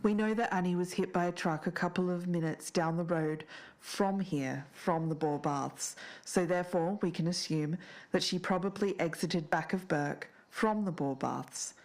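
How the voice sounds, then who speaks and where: Lower-pitched woman narrating. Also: normal speed, a little mellow. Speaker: podcast narrator. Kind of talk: scripted narration.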